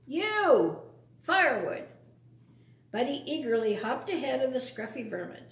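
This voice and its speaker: A woman reading a picture book aloud to children, expressively, pausing for simple explanations and questions to her young listeners. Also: fairly high pitch, gravelly, darkish tone. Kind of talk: read-aloud storytime with asides to young listeners. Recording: roomy, echoing sound.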